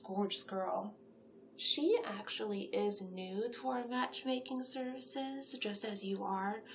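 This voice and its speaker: A woman in a soft-spoken ASMR roleplay as a friendly, flattering matchmaker, gently encouraging and often slipping into whispers. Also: mid-range voice, a little hiss or hum. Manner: gentle, encouraging, salesy, unhurried with frequent whispered phrases. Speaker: ASMR roleplay performer playing a monster matchmaker.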